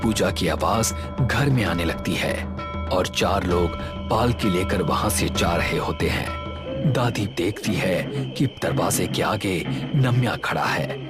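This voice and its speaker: A man narrating a scripted horror story in a measured, dramatic way. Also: low voice, lively intonation, loud music behind the voice.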